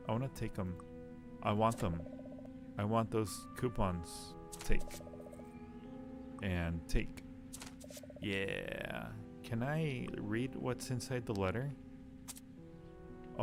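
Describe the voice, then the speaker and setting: A man live streaming a game, reading its text aloud and chatting casually with viewers as he muses. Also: on the low side. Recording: music playing behind the voice. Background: music.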